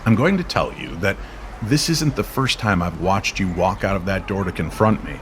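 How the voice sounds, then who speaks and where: A man playing a calm, enigmatic stranger in a mystery audio drama, speaking in a measured, cryptic way. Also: deep voice, slight background noise. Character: calm, enigmatic stranger who knows everyone. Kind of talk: old-time radio style drama.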